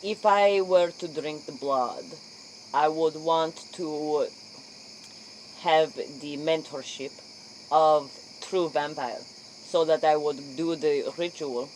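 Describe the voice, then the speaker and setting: A man acting as an earnest interviewer in a comic role-play, speaking deliberate broken English. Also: on the high side, fairly even intonation, rough recording. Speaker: interviewer in character. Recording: faint noise, crickets behind.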